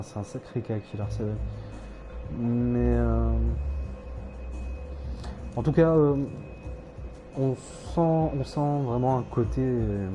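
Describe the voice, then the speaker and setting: A man streaming a game, rambling hesitantly with many fillers and self-corrections, with dry irony. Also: mid-range voice. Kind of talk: casual rambling game commentary.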